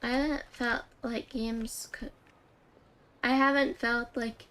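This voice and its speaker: A woman's voice: a little high, fairly even intonation, takes it slowly, fairly bright tone. A woman livestreaming a game, chatting casually and ramblingly with her viewers. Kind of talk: casual livestream chat while gaming.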